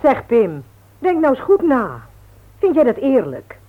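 A woman's voice: high voice, huge pitch swings, measured pace, heavily acted. A middle-aged woman playing a strict, principled mother in a radio play, firm and admonishing. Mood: concerned. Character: strict, principled, worried mother of grown children. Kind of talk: vintage Dutch radio play acting. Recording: old narrow-band radio recording.

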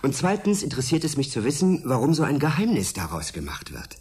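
An actor in a German radio drama playing a politely persistent journalist with a dry, probing manner. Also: male voice, mid-range voice, huge pitch swings, quick pace, a little mellow, husky.

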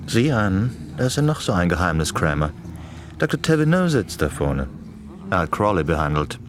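A man's voice: low voice, very animated, normal speed. An actor playing a wry, suspicious young male student in a radio play. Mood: curious, suspicious. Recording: noisy lecture hall ambience.

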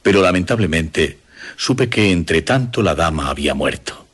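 Radio drama: grave, formal Victorian man of letters, low husky voice, measured literary delivery. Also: animated, fairly bright tone, exaggerated voice. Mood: solemn, regretful. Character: scholarly Victorian editor reading his solemn manuscript prologue.

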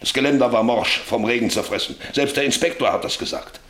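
An actor playing a middle-aged farmer and father, troubled and defensive, with a halting, brooding delivery in a German radio play. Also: medium pitch, a bit bright, close to an everyday voice. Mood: defensive, firm. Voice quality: gravelly, husky.

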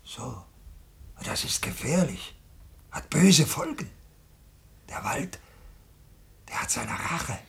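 An actor playing a wistful, muddled old ex-lieutenant and hunter in a 1950s German radio play, halting and pensive. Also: male voice, mid-range voice, very animated, creaky, whispered, heavily acted. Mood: ominous, hushed. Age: older. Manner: halting, pensive, repeating himself. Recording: 1950s radio recording with noise, clicks.

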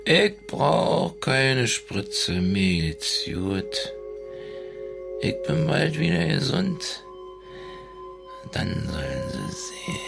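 An actor playing an injured, bitter and stubborn hospital patient in a radio drama, halting and pained. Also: male voice, mid-range voice, wildly swooping pitch, creaky, full character voice. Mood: pained defiance. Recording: music behind the dialogue.

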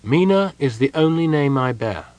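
A man's voice: mid-range voice, animated, normal speed, a little mellow, no echo, voice pushed up, natural voice. A man voicing a young girl prisoner in a fantasy audiobook, serenely devout and defiant, with a cool, earnest manner. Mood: cool, firm. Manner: cool, earnest, with a hint of iron.

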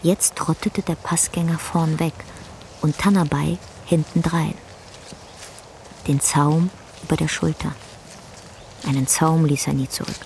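An actress narrating a literary radio play in a measured, solemn way. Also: female voice, low-pitched, steady tone, gravelly, darkish tone, slight background noise. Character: solemn storyteller of an old man and horse.

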